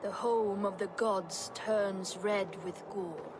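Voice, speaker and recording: Women voicing the three ancient Norns, speaking prophecy slowly and solemnly in a video game cutscene. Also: female voice, medium pitch, fairly even intonation, background noise, lightly acted. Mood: ominous. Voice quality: slow, solemn, ethereal.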